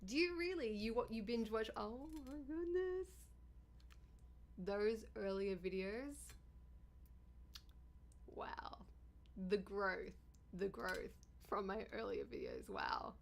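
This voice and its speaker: Chatty streamer woman with a gravelly rasp. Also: big pitch swings, slow, pauses often. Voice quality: deep, raspy voice. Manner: chatty, bubbly, thanks viewers often. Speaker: livestreamer and YouTuber. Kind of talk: casual livestream chat with viewers.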